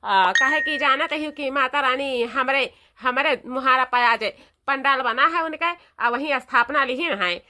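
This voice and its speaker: A woman's voice: high-pitched, a little slow, pushed and bright. A woman voicing a village elder in a cartoon, instructing people familiarly. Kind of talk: cartoon comedy dialogue.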